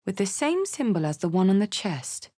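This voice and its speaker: A woman's voice: mid-range voice, sing-song, normal speed, dark tone. An actress voicing a battle-hardened tiefling barbarian woman in a video game, with a blunt, earthy, wry way of talking. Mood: matter-of-fact. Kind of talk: video game character voice lines.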